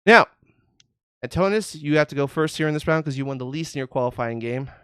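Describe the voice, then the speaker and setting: Brief: male game show host, congested, showy patter, smooth clear voice, dry close sound. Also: fairly high pitch, lively intonation, a little fast, no echo. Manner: showy announcer patter, calls out totals and ladies and gentlemen. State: congested from a summer cold. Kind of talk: hosting an online game show.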